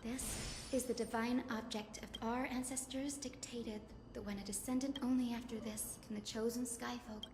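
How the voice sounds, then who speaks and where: An actress playing a gentle, earnest young woman in a video game, with a polite and sweet manner. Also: fairly high pitch, steady tone, normal speed, noisy background, lightly acted. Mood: bright, gentle. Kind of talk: dramatic video game voice acting. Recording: echoey reverb with music behind.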